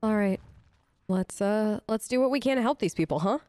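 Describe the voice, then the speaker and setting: A woman's voice: medium pitch, lively intonation, moderate pace, mellow, dry recording. A woman role-playing through a video game, speaking chattily in informal, in-character replies. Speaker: let's player.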